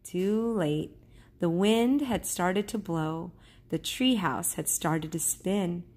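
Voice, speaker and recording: A woman reads a children's story aloud like a teacher. Her voice is slightly low and husky, and she takes her time, telling it slowly and carefully. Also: darkish tone.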